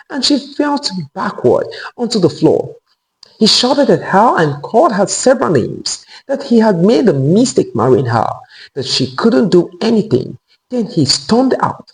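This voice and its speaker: Male storyteller for scripted folktale, high voice with heavy creak, animated pitch movement. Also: rough recording. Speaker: storyteller narrator.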